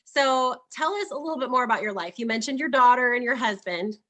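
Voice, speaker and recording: A woman hosting a casual chat over a video call. Her voice is bright and fairly high, and she laughs often as she encourages her guest. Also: brisk. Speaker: host.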